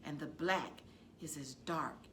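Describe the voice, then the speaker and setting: A woman reading a children's novel aloud as a librarian would, in an expressive, dramatic storytelling style with deliberate phrasing. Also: sits low, slight background noise.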